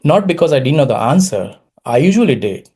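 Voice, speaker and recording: A man in his late thirties from India, a native Gujarati speaker with a Gujarati-accented English, telling his story as a prepared public speech with dramatic pauses and wry, self-deprecating humor. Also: a little high, big pitch swings, darkish tone, slightly rough. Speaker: Toastmasters speaker.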